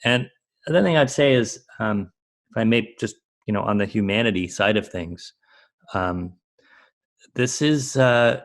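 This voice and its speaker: A man giving unscripted advisory commentary as a panelist, in a halting, reflective way. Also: on the low side. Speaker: expert panelist on a conference call.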